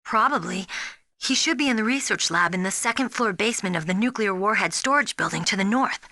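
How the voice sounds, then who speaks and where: An actress playing a spirited, earnest young rookie soldier in a video game, speaking in a frank, expressive way. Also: female voice, mid-range voice, a little fast. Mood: concerned, alert. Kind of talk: dramatic video game voice acting.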